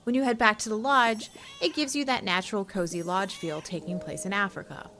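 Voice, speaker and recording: A woman's voice: mid-range voice, steady tone, a little fast, pushed and bright, a little hiss or hum. A woman co-hosting a games show, moving between casual chat and scripted review narration. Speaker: show co-host and game reviewer.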